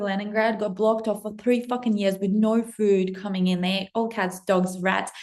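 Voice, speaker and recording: A woman from Russia, in her thirties, talks to camera as a spiritual business coach. She gushes and swears with breathless excitement. Her voice sits at an ordinary middle pitch for a woman. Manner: breathless, effusive, heavy swearing and frequent "like". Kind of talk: excited casual monologue to camera.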